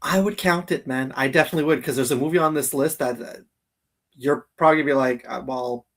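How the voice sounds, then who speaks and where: A man's voice: mid-range voice, fast talker, a bit bright. A man co-hosting a casual geek talk show, circling back and repeating himself as he talks. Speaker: co-host of a live geek countdown show. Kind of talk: casual chat.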